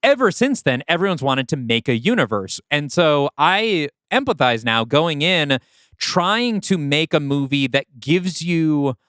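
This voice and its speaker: A man's voice: fairly high pitch, big pitch swings, unhurried, a bit bright, clear, resonant tone, no echo, clean studio sound. A man co-hosting a podcast, chatting casually about creative work. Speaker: podcast co-host. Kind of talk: casual chat about writing a movie script.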